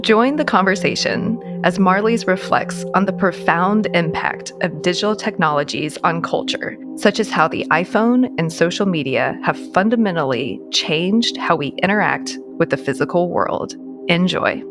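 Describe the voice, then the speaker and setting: A woman's voice: on the low side, expressive, normal speed, over music. A woman hosting a podcast, enthusiastic and upbeat as she introduces her guest. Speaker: podcast host. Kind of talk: scripted intro then casual interview.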